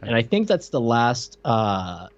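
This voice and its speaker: A man's voice: mid-range voice, big pitch swings, slow pace, lo-fi sound. A man co-hosting a podcast in loose, casual, conversational talk. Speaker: podcast co-host. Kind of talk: casual chat about a film.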